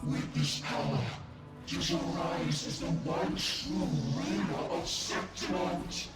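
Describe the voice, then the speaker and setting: Game role: a grave, breathy male power-giver, deliberate and lofty, music behind. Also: a little high. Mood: grave, persuasive. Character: solemn, otherworldly male voice of authority offering power. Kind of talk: dramatic video game voice acting.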